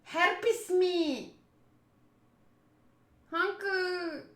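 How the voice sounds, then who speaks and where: A woman's voice: extremely high pitch, extremely slow, fairly bright tone, roomy sound. A woman hosting a game live stream, chatting casually in a playful, teasing and informal way. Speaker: live streamer hosting a visual novel playthrough. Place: indoors, home room.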